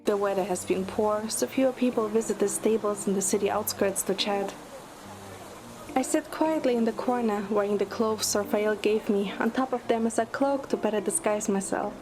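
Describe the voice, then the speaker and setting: A woman's voice: medium pitch, moderate pace, low-quality recording. A woman reading a scripted first-person dramatic narration. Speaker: narrator and lead in a fan audio drama. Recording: loud music under the voice. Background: music.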